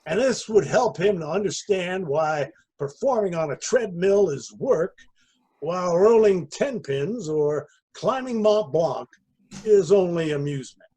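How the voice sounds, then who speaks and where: Guy reading a classic story out loud, mellow highish voice, takes his time w pauses. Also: expressive, slightly rough. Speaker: story reader. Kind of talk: expressive literary narration.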